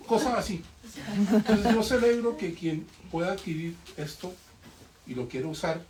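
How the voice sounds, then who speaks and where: A man from the audience making an emphatic, storytelling comment, full of rhetorical questions, at a bookshop event. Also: high voice. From Colombia. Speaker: audience member. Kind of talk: spontaneous public comment. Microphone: distant room mic. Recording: echoey room, voice far from mic, faint noise.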